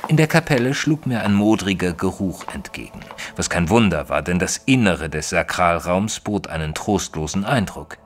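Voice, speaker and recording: An actor playing an educated, observant gentleman who narrates his own story in a formal, literary audio drama style. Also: sits low, animated, moderate pace, few pauses, slight background noise. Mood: measured narrating. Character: male narrator Mister Tristram, educated, observant, easily flustered gentleman. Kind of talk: scripted first-person audio drama narration.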